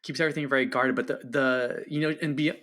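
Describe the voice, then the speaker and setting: Bright-voiced man, film critic guest. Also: medium pitch, steady tone, quick pace. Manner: agreeable, stammering restarts. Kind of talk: casual film discussion.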